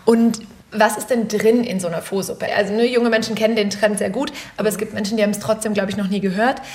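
A woman's voice: medium pitch, steady tone, fast, darkish tone. A woman hosting an interview, curious and asking lots of follow-up questions. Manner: curious, asks follow-ups, frequent mhm. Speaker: interview host.

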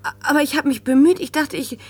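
A woman's voice: sits high, quick pace, darkish tone, natural voice. A woman playing a timid but stubborn newly homeless widow in a German crime radio drama, hesitant and guarded. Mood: frightened pleading.

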